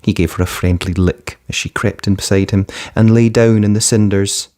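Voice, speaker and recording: A man presenting and narrating a children's fairytale in an expressive read-aloud style. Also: on the low side, normal speed. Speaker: storybook narrator and channel presenter.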